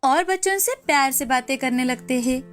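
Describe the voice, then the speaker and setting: Female storyteller, high voice, scripted kids' tale over soft music. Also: a little fast. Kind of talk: scripted children's story narration.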